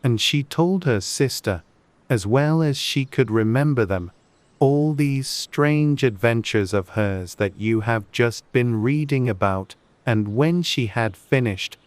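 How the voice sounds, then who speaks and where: Male audiobook narrator, medium pitch, unhurried storybook read, dry close recording. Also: animated, no echo.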